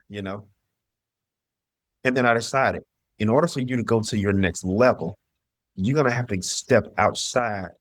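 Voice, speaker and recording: A man's voice: on the low side, dry recording. A middle-aged man from the southern US telling his personal story as an interview guest, emphatic and repeating phrases as he voices his own past thoughts. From southern US (Mississippi, North Carolina).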